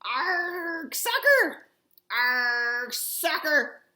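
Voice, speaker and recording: A rowdy pirate deckhand from a storybook, played by a woman, roars out exclamations. The voice is high and forceful, ringing in a roomy space. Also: big pitch swings, very slow, heavily acted. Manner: roaring, exclaiming. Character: rowdy shouting crew of pirates.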